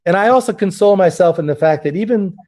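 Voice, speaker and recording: A man hosting a relaxed conversation, his voice a touch high and mellow. He talks earnestly, often restarting and repeating words as he gathers his thoughts. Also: quick pace. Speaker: host. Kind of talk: casual interview chat.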